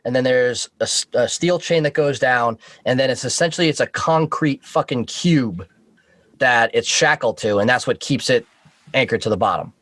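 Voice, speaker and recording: A man's voice: medium pitch, moderate pace, a bit bright, no echo. An American man telling anecdotes casually as a podcast guest, swearing and joking along the way. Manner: profane, joking. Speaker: podcast guest, Coast Guard veteran.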